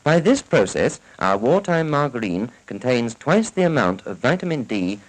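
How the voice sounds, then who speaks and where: A man's voice: mid-range voice, sing-song, normal speed, rough recording. A man with a British accent giving a formal, instructive scripted narration in the style of a 1940s educational film, on a vintage soundtrack. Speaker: narrator of a public information film.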